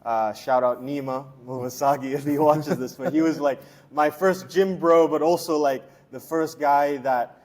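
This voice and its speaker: A man's voice: fairly high pitch, normal speed. Young male coach, from Hong Kong; casual, rambling, filler-heavy interview talk. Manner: relaxed, chatty, many fillers and restarts. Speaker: strength and conditioning coach.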